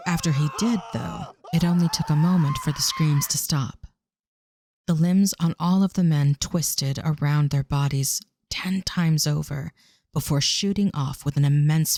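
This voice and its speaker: A woman's voice: low-pitched, a little mellow, a little hiss or hum. A woman narrating a scripted audio drama as a storyteller.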